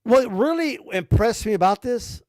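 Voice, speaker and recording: High-voiced man ranting about boxing. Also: expressive, normal speed, a little mellow, dry recording, studio quality. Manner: rambling, blunt, peppered with slang and cursing. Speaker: boxing commentary streamer. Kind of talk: casual ranting commentary.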